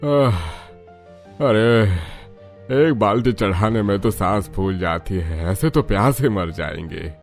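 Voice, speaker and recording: A man's voice: lowish voice, expressive, slow. A man playing a weary, kindly old father-in-law in a Hindi cartoon story, with a sighing, plaintive manner. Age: older. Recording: music behind the voice.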